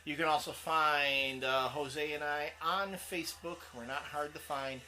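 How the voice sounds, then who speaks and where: A man's voice: on the high side, steady tone, unhurried. A man, co-hosting a casual comics chat show, rambling with plenty of fillers. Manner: rambling, laughs often, many fillers. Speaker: co-host.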